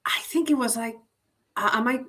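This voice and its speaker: A woman's voice: fairly high pitch, normal speed, pushed and bright. Woman, Spanish accent, easygoing reflective co-host voice. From Spain. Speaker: guest co-host, leadership coach. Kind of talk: casual conversation.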